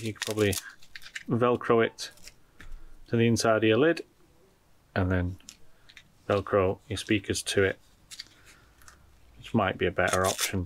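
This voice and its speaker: A man's voice: on the low side, slight background noise. A man giving casual unboxing commentary as a product reviewer, informally thinking aloud as he goes.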